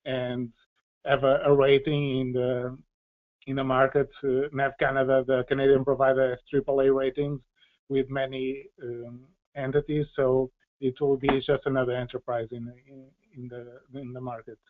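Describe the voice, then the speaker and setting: Halting man explaining, tinny phone-like line. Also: medium pitch, little pitch movement. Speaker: policy expert answering questions. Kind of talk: explanatory panel Q&A. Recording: tinny, phone-like band-limited audio.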